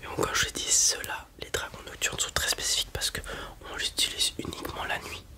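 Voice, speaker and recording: Brief: whispered ASMR roleplay voice, any sex, breathy and soft, hesitant explainer playing a dragon breeder. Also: a little fast.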